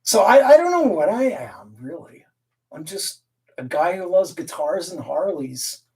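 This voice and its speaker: A man's voice: sits high, big pitch swings, a little slow, a little mellow. Chatty guy doing a live stream, rambles and laughs, reads the chat, sounds a bit far from the mic. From Canada. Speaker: livestream host and guitar collector.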